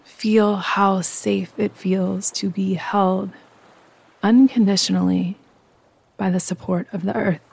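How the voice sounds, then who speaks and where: A woman guiding a yoga nidra meditation in a soft, breathy voice, calm, soothing and unhurried. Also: medium pitch, low-quality recording. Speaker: meditation guide and host.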